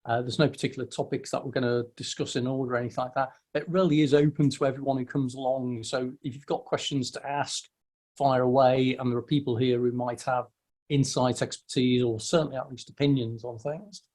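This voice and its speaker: A man's voice: medium pitch, little pitch movement, brisk, a little mellow. A man hosting a casual meetup over a video call, friendly and welcoming, often stumbling and restarting his words. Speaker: host of a weekly online meetup.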